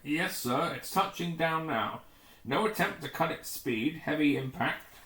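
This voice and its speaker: A man's voice: a little high, lively intonation, a little slow, fairly bright tone, roomy sound. A man voicing a space station officer urgently reporting danger in a sci-fi adventure story. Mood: tense.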